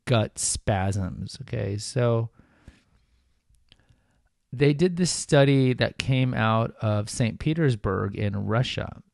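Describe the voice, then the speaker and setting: A man's voice: on the low side, vocal fry, darkish tone, no room sound, studio quality. A man hosting a podcast, talking casually and informally, with fillers and self-corrections. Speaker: podcast host. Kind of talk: casual solo podcast talk.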